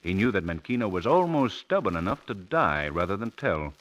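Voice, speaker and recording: An actor playing a calm, knowing crime-fighter in a measured, theatrical 1940s radio drama style. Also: male voice, fairly low pitch. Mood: calm, explaining. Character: calm knowing male crime-fighter, later a menacing avenger. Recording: old 1940s radio broadcast recording.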